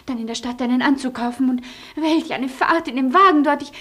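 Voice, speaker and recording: A woman's voice: high voice, fairly quick, a bit bright. An actress playing a genteel, dramatic and cajoling French lady in a radio play. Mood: dramatic pleading.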